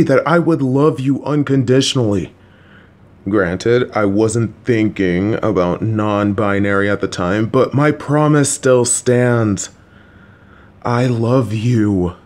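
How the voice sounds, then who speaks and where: A man voicing a gentle, awkward, supportive middle-aged father, speaking in a slow, halting way with dad jokes. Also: mid-range voice. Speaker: voice actor playing a father. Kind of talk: audio roleplay.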